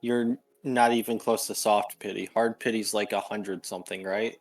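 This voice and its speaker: A man's voice: lowish voice, fairly even intonation, normal speed. A man chatting casually as co-commentator on a game, with a dry, teasing manner. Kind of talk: casual gaming chat. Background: game music.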